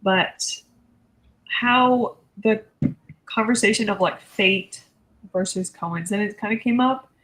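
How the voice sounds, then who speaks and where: A woman discussing books casually, in a heartfelt, reflective way with halting phrasing. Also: mid-range voice, fairly bright tone, poor audio. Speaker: book discussion panelist. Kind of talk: casual book chat.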